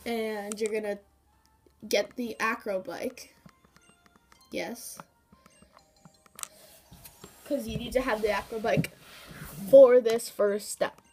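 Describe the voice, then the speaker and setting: A woman's voice: fairly high pitch, fairly even intonation, slow pace, pauses often, mellow, soft background music. Chatty woman with a cold, game walkthrough. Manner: chatty, rambling with fillers and asides. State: has a cold, sniffling. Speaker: gaming YouTuber. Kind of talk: casual game walkthrough commentary.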